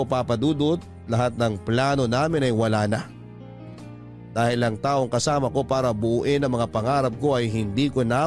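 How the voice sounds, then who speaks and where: A man hosts a radio drama, narrating in a sentimental and solemn way. His voice is medium in pitch. Soft music plays underneath. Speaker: radio host reading listener letters. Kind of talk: dramatic scripted story narration. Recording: music bed behind narration.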